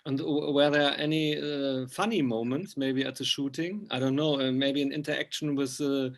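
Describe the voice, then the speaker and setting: A man asking casual interview questions in a halting, hesitant way. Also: mid-range voice, fairly even intonation. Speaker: interviewer, magazine journalist.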